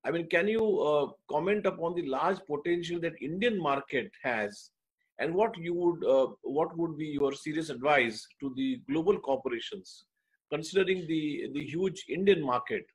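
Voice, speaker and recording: A man's voice: fairly high pitch, moderate pace, low-quality recording. A man hosting a webinar interview over a video call, polite and encouraging as he asks questions. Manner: polite, with frequent brief acknowledgements.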